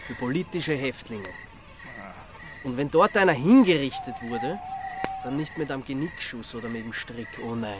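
A man's voice: medium pitch, animated, normal speed, crowd noise, close to an everyday voice. An actor with an Austrian accent playing a sardonic, aggrieved, colloquially exaggerating man in a radio drama. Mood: grim storytelling. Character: sardonic, aggrieved man, prone to lurid storytelling.

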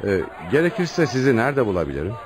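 An actor playing a brisk, courteous police commissioner in a radio drama. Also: male voice, mid-range voice, big pitch swings, crowd noise, lightly acted. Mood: businesslike.

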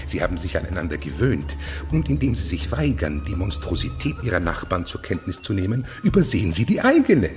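Haughty fanatical eunuch, wildly swooping pitch. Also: male voice, huge pitch swings, brisk, faint music behind. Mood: contemptuous. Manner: declamatory, preaching, contemptuous. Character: fanatical, haughty male eunuch official ruling over monsters. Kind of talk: German radio play acting.

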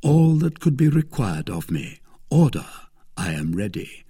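A man's voice: lowish voice, sing-song, a little slow, warm tone, slightly rough, no room sound, clean studio sound, heavily acted. A man playing a gallant, wily young swordsman in love, with fervent, courtly declamation in an audiobook of a classic novel. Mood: eager, flattering.

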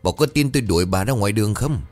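A man's voice: on the low side, sing-song, fairly quick, faint music behind. A man voicing a foul-mouthed, hot-tempered village man who barks coarse threats in an audio story. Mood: angry shouting. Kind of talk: dramatic audio-story dialogue.

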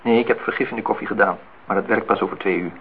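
A man's voice: lowish voice, fairly even intonation, rapid-fire, natural voice. An actor in a radio play as a wry man who asks probing questions in a dry, teasing way. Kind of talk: Dutch radio play acting. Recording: dull, noisy old radio recording.

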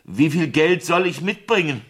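A man's voice: a little high, animated, moderate pace, a bit bright. An actor in a 1970s radio play playing a wary, indignant man cornered by blackmail, curt and defensive. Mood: grudging.

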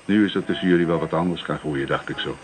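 An actor playing an avuncular older village pastor, paternal and knowing, in a Dutch radio drama. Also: male voice, a bass voice, quick pace. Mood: reassuring, knowing.

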